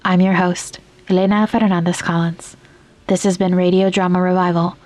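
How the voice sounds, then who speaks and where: A woman reads as a podcast host in a fairly low voice with very level intonation. Her delivery is scripted, measured and clearly enunciated. The sound is dry, with little room in it. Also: little pitch movement, darkish tone, no echo. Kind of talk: scripted narration, podcast outro and credits.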